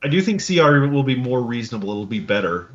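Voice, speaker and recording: Guy podcast co-host just chatting, kinda halting, repeats himself, mic sounds a bit muffled. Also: medium pitch, big pitch swings. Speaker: podcast co-host reacting to a video. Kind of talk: casual chat.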